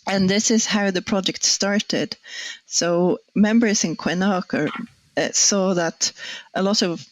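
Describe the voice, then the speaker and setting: A woman from Sweden, an archaeologist, explaining her project in a measured way with fillers. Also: mid-range voice, steady tone, no echo, low-quality recording. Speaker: archaeologist, guest. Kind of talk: explanatory conversation.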